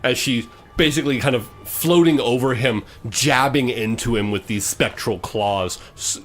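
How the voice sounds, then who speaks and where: A man running a tabletop role-playing game as game master, improvising descriptive narration in a halting way. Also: medium pitch, soft background music.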